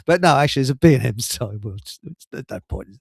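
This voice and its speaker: A man's voice: medium pitch, expressive, quick pace, gravelly, dry recording, studio quality. A man with an English accent hosting a radio show in casual, rambling chat, telling stories with colloquial asides and digressions.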